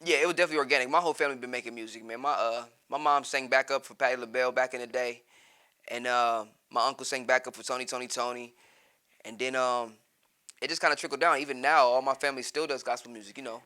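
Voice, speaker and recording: A man's voice: mid-range voice, fairly even intonation, fast, bright, forceful tone, clean tone. A man from Columbus, Ohio, chatting easily as a guest and laughing often. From Columbus, Ohio, born in Texas. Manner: laid-back, laughs often, says "you know what I mean". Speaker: guest rapper. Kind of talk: casual interview chat.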